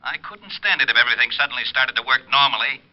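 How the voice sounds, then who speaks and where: An actor playing a harried, sarcastic police lieutenant in an old-time radio crime drama, brisk and businesslike. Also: male voice, mid-range voice, lively intonation, a little hiss or hum, lightly acted. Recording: dull vintage radio recording.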